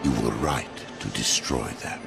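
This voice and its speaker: Very deep, creaky lorekeeper. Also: male voice, loud music behind the voice, heavily acted. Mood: grave, portentous. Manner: portentous, deliberate, dramatic. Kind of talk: fantasy game voice acting.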